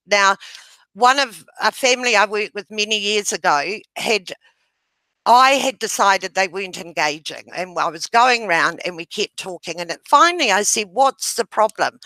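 A middle-aged woman from New Zealand giving a webinar over a video call with a headset, explaining a method in a loud, gesturing way. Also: medium pitch, no room sound, rough recording. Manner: loud voice, gestures while talking. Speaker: webinar presenter. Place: home room, indoors.

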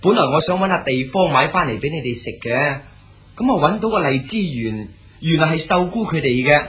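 Eager, bashful young son. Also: male voice, fairly high pitch, lively intonation, fairly quick, fairly bright tone. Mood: dutiful. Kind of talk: Cantonese radio drama acting.

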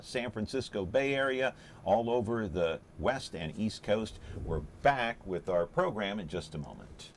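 A middle-aged American man with a low voice hosts a local TV talk show from a studio desk mic, with faint studio hum behind. He is brisk and keeps the guest on track, pushing toward breaks and calls. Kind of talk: local TV interview.

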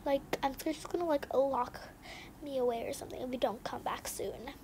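A woman playing a sulky, sneaky little girl, high-pitched. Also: moderate pace, darkish tone, lightly acted. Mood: complaining. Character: resentful, sneaky young girl plotting against babysitter. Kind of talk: improvised game roleplay storytelling. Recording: noisy home recording with clicks.

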